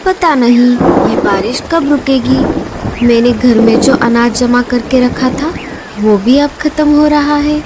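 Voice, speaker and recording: Cartoon casting: woman as tender, anxious mother bird, very high voice, unhurried, dramatic exclamations. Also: very noisy, lightly acted. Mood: worried. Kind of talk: Hindi children's cartoon dubbing.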